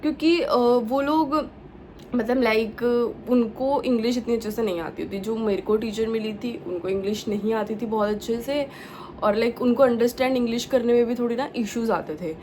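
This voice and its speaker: A woman's voice: fairly high pitch, moderate pace, mellow, slight background noise, poor audio. Chatty young woman mixing Hindi and English. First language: Punjabi. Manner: chatty, mixes English words into Hindi, many fillers. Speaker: vlogger. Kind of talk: casual chat.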